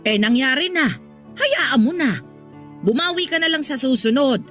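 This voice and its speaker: A woman's voice: high-pitched, animated, moderate pace, a bit bright, faint music behind. A woman playing a weary mother in a Tagalog radio drama, pleading and placating as she keeps the peace. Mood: mildly reproving. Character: weary peacemaking mother of two quarrelling daughters.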